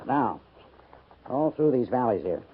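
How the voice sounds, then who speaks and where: An actor playing a brusque, dismissive army major in a 1950s radio drama, speaking in a brisk, curt way. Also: male voice, mid-range voice, animated, husky. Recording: tinny lo-fi radio broadcast with faint hiss.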